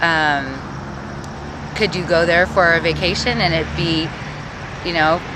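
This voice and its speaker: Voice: mid-range voice, fairly even intonation, unhurried. A speaker in a street interview curiously rattling off questions. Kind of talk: casual street interview answer. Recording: loud street noise behind.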